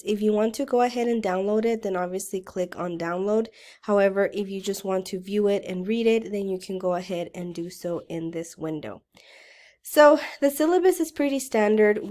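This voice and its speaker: A woman college writing instructor, still getting over a cold, explains how to navigate her course in a relaxed, conversational way. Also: medium pitch. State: voice rough from a recent cold. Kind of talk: recorded course walkthrough lecture.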